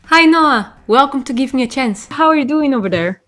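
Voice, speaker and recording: A woman's voice: high voice, expressive, brisk, fairly bright tone, soft background music. A woman hosting a podcast, reading a scripted introduction and then asking questions over a video call with many fillers. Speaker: podcast host. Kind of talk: podcast interview.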